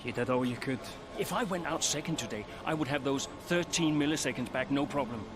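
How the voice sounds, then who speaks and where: An actor playing a proud, guarded veteran racing driver in a video game, terse and defensive in manner. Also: male voice, mid-range voice, fairly quick, lightly acted. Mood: bitter frustration. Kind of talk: dramatic video game cutscene acting.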